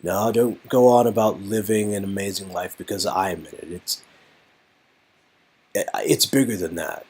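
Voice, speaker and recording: Romantic game lead read by a man: young guy, low slightly rough voice, earnest, teasing, emphatic delivery. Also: measured pace, darkish tone. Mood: encouraging. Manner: encouraging, playful, emphatic. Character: earnest, teasing young man comforting his love interest.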